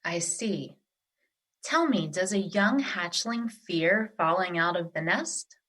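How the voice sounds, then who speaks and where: A woman playing a wise, nurturing earth spirit in an audio drama, speaking in a formal, measured, reassuring way. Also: on the low side, lively intonation, a bit bright, heavily acted. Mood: gently reproving. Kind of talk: theatrical audio drama acting.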